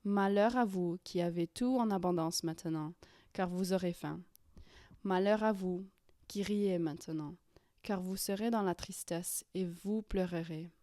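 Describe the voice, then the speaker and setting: Slow, soft-spoken, hesitant woman. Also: lowish voice, no room sound, clean studio sound. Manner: hesitant, many fillers and restarts, often drops to a whisper. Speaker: YouTuber leading prayer. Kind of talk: casual guided prayer and Bible reading.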